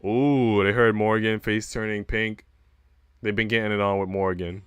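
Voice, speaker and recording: Male streamer, smooth low-leaning voice, dry close sound, reads game narration with playful, slangy asides. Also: steady tone, moderate pace, dry recording. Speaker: livestreamer. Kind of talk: reading narration aloud with casual livestream commentary.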